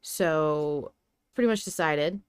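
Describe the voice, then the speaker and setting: Smooth-voiced woman podcast host, fairly low pitch. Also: takes it slowly, dry recording, studio quality. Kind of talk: casual podcast discussion of a story.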